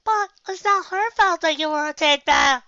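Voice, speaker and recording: A narrator voicing a young filly pony, an earnest, questioning little sister in a storybook reading, with a thin, breathy voice. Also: extremely high pitch, normal speed, bright, forceful tone, dry recording, dull audio, voice pushed up. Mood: protesting.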